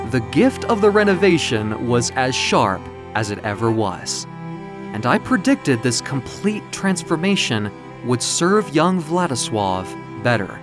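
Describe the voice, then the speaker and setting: A man voicing a proud, capricious ancient magical being in florid, theatrical storybook narration. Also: mid-range voice. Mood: elated. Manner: florid, theatrical, self-satisfied. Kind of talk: dramatic first-person storybook narration. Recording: music behind the voice. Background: music.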